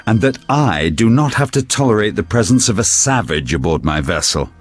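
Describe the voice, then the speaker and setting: An actor playing a pompous, suspicious Victorian naval commander in an audio drama, sneering and sarcastic. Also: male voice, on the low side, very animated, moderate pace. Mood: pompous, disdainful. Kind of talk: period audio drama acting.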